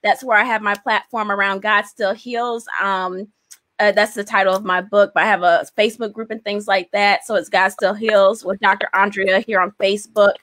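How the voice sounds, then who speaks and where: A woman in her forties with a bright, forceful voice at a middle pitch talks casually as a guest on a video-call show. She is encouraging and sounds dry, close on a desk mic. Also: fairly even intonation, normal speed, no echo. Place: small indoor room on a video call. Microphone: large desk microphone, close.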